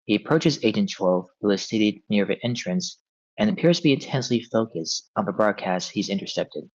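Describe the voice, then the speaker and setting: A man narrates stage directions at a table read. His voice sits fairly low and measured, on a dry, slightly muffled recording. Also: expressive, no room sound.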